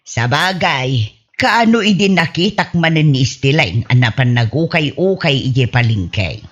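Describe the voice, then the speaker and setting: A woman with an Ilocano Filipino accent playing a chatty, helpful village wife who grumbles good-naturedly, in a husky-voiced radio comedy drama. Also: low voice, animated, unhurried, highs cut off. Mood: gossipy.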